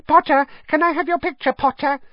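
A starstruck little boy gushing fast in a squeaky, breathless voice, far above the reader's own low voice. Dry, somewhat dull recording. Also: fairly even intonation, no echo. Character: eager starstruck young boy, mocked.

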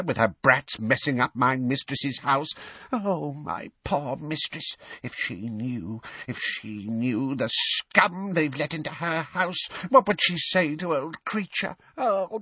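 A man voicing a bitter old male house elf who mutters hoarsely and resentfully under his breath. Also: sharp tone, dry recording, far from the mic, exaggerated voice. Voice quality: hoarse, deep, croaking.

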